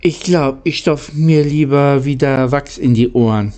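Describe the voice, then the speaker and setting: A man's voice: mid-range voice, a little slow, dark tone, faint music behind, lightly acted. A man voicing a frightened, freezing young boy in a storybook, asking anxious, stammering questions.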